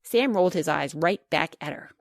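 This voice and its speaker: A woman reading a children's storybook aloud as scripted narration, expressive and clearly paced. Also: sits low, normal speed, no room sound, clean studio sound. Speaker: author reading her children's book.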